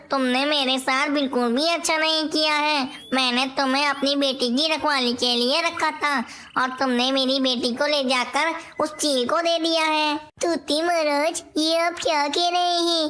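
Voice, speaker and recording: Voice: sits high, bright, forceful tone, a little hiss or hum. A performer voicing a talking bird in a children's cartoon tale, speaking in simple storybook dialogue. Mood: pleading.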